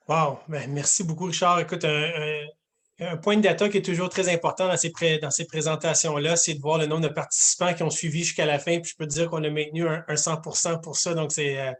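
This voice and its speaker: A man's voice: fairly high pitch, steady tone, a little fast, a bit bright. A man moderating a virtual panel, casual and chatty with fillers. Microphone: video call.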